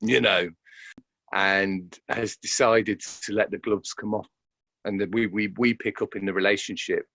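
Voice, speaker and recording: A man's voice: lowish voice, steady tone, a little slow, vocal fry, a bit bright, dry recording. A man reflecting in an interview, speaking hesitantly and repeating words as he thinks aloud. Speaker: interviewed actor.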